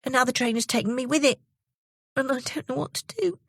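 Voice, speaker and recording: An earnest little boy explaining himself in a rush, raised and worried, as a storyteller plays him. The voice sits close and dry, a touch husky. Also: high-pitched, fairly quick, no echo, voice pushed up. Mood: anxious. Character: anxious earnest young boy.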